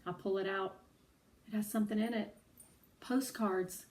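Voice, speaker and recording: A woman reading a children's story aloud as an expressive storyteller, with animated, dramatic delivery. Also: mid-range voice, unhurried, far from the mic.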